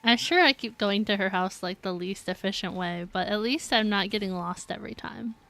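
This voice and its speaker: A woman's voice: mid-range voice, a little fast, gravelly, bright, forceful tone, no echo. A woman streaming a game, giving casual, playful live commentary in a chatty way.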